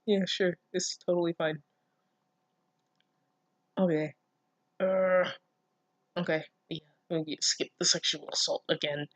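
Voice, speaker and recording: A person reading fan fiction aloud casually, breaking off for expressive, incredulous asides full of swearing. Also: mid-range voice, unhurried, pauses often, no echo. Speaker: online reader reacting to fan fiction. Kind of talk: casual read-aloud with running commentary.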